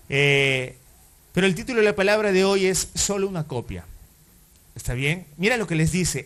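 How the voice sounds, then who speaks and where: A male pastor with a notably high voice delivers a sermon. He talks in a loose, conversational way, full of fillers and self-corrections, and keeps putting rhetorical questions to the congregation. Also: fairly bright tone.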